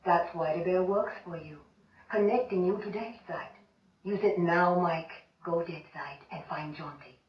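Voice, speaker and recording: Video game cast: actress as a lowish-voiced Jamaican voodoo priestess, grave and ominous, heard distant in an echoey mix. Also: female voice, unhurried, natural voice. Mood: firm. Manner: solemn, ominous warnings. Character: wise stern female voodoo priestess.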